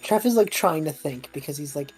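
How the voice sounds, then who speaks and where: A woman's voice: low voice, lively intonation, brisk, darkish tone, faint music behind, low-quality recording. A woman playing a tabletop roleplaying game, narrating her character's actions in a casual, rambling, informal way. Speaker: player in a tabletop roleplaying podcast.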